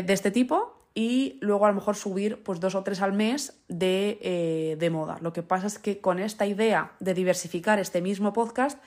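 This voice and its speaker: A young woman hosting her own podcast, thinking aloud in a casual monologue full of fillers and asides. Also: mid-range voice. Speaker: podcast host.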